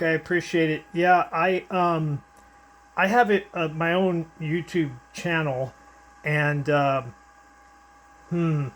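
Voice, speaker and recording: An older American man with a slightly high voice talks as a ham radio operator. He rambles along easily, with hesitant pauses and handovers to the other station. There is a faint noise behind him in the room. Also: fairly bright tone. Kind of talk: casual ham radio chat with callsigns and handovers. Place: indoors at his radio, filming it.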